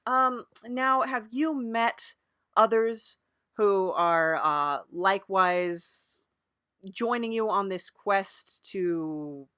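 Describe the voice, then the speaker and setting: A woman hosting an improvised comedy interview podcast, talking in a hesitant, deadpan way. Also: mid-range voice, no room sound, clean studio sound. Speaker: podcast host.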